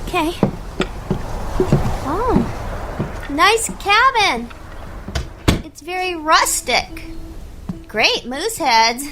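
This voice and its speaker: A woman's voice: very high-pitched, sing-song, very slow, pauses often, over music, full character voice. An actress playing a chatty, impulsive young woman and loyal friend in a family radio drama, eager and teasing. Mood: awkwardly cheerful.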